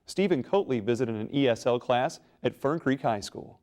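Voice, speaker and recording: A man hosting a school news program, reading scripted introductions in a smooth, measured way. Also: medium pitch, expressive, a little mellow. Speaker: program host.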